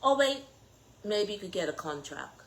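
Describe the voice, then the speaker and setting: A woman's voice: medium pitch, big pitch swings, normal speed, poor audio. A woman with a Bahamian accent speaking as a political candidate in an impassioned address, emphatic and challenging, firing off rhetorical questions.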